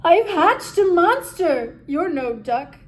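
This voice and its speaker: A woman's voice: extremely high pitch, big pitch swings, slow, voice pushed up, exaggerated voice. A woman voicing a lonely, grumpy male storybook duck with dramatic, plaintive exclamations. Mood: alarmed, panicked.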